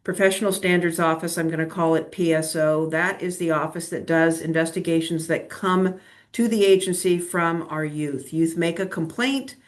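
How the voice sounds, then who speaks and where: A woman administrator explaining agency events to an advisory council at a meeting, in a measured way with many fillers, speaking into a laptop in a meeting room. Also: fairly low pitch. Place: meeting room, same room as a colleague. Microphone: laptop microphone.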